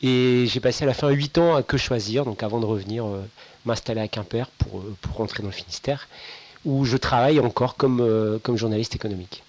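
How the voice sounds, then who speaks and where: A man's voice: mid-range voice, brisk, darkish tone. A man from Brittany, a journalist on a panel, speaking in long articulate sentences with dry humor. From Brittany, France.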